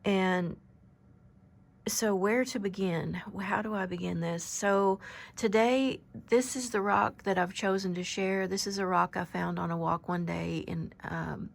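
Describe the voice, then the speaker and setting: A very soft-spoken woman in her fifties, giving an unscripted oracle card reading with gentle, halting delivery and frequent pauses. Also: medium pitch, steady tone, vocal fry. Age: 50s.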